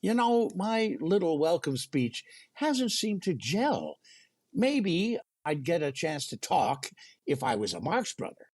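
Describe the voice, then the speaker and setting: A man's voice: fairly high pitch, sing-song, takes it slowly, breathy edge, exaggerated voice. An actor playing a mild, fumbling comic foil who keeps losing his welcome speech. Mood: flustered. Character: flustered, mild-mannered male comic foil. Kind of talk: 1930s radio comedy acting.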